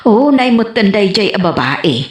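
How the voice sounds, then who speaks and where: A woman's voice: mid-range voice, big pitch swings, measured pace. A woman playing a scolding, easily embarrassed village wife in an Ilocano comedy radio drama, with an Ilocano accent. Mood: exasperated. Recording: roomy echoey sound.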